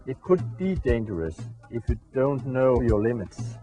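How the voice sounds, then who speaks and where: Male speaker, dark mellow tone, grave and cautionary. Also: medium pitch, very animated, measured pace, soft background music. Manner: serious, warning.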